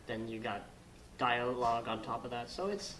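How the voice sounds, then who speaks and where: A man's voice: medium pitch, normal speed, a bit bright, a little hiss or hum. A man from a stage cast chatting casually in a group interview. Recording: echoey room with crowd sound.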